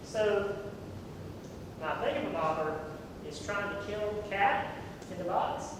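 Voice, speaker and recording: Stage comedy: actor playing a sardonic, put-upon man, high-pitched voice, heard from far off in a hall. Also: animated, measured pace. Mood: puzzled. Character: exasperated, sardonic man humouring a silly joker. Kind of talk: amateur stage comedy acting. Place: theatre stage, picked up from afar. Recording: distant, echoey theatre hall, clear noise.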